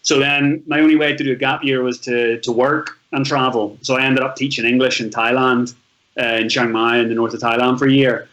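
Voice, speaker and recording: A Northern Irish man in his thirties tells his story in a chatty, rambling flow, circling back and restarting his sentences. His voice sits at a middling pitch for a man. The audio is a little dull and lo-fi. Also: flat delivery, a little fast, a bit bright. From Northern Ireland. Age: 30s. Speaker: podcast guest, travel blogger. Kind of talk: casual storytelling interview.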